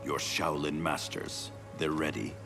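A gravelly, distrustful clan leader. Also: male voice, extremely low pitch, fairly even intonation, measured pace, music in the background, full character voice. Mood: resolute. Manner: suspicious, clipped. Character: stern ice warrior clan leader, distrustful. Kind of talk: video game cutscene acting.

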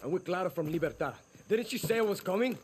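A sardonic young male rebel in a video game, terse and impatient. His voice is a touch high and lively in pitch, with clear outdoor noise behind it. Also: fast. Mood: guarded, direct. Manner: terse, dry. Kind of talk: video game cutscene acting.

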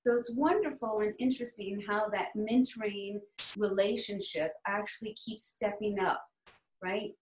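Woman in her 40s from New Orleans, webcam speech, mid-pitched, candid, roomy echo. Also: normal speed, a little mellow, slight background noise. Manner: candid, conversational, sprinkles in "y'all". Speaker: club speaker. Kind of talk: prepared personal speech. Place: home room, indoors. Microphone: laptop webcam on a video call.

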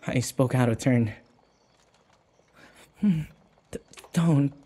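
Man acting a timid captive, slow and husky. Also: medium pitch, dark tone. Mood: anxious, apologetic. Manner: timid, stammering, hesitant and apologetic. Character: nervous, submissive human man held captive by vampire mistress. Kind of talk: audio roleplay acting.

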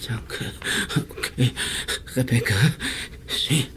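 An actor playing a dazed, poisoned ship captain, weak and halting as he fades, in a German audio drama. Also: natural voice. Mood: groggy, urgent. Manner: halting, repeating words. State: weak, groggy, barely conscious. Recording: clear background ambience noise.

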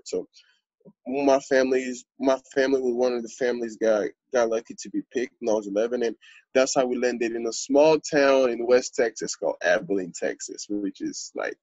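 A man in his twenties from Congo, a podcast guest telling his story in a relaxed, earnest way with lots of fillers. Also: mid-range voice, breathy edge, no room sound, lo-fi sound. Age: 20s. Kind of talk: podcast interview.

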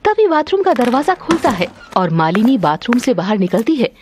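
A woman's voice: fairly high pitch, very animated, moderate pace, noisy background. A woman narrator reading a scripted story.

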